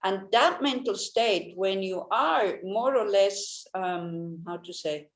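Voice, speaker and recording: A woman whose first language is Swiss German gives a Buddhist teaching in a gentle manner, often restarting and searching for words. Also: medium pitch, slow pace. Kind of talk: Dharma teaching talk. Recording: echoey room.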